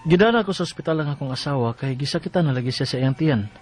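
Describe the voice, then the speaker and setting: An earnest young husband in a radio drama, played by a man, full of concern. His voice is very high for a man, with a Cebuano accent and faint music behind. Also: lively intonation, fast, a little mellow, natural voice. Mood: troubled, narrating. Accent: Cebuano Bisaya. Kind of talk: Bisaya radio drama acting.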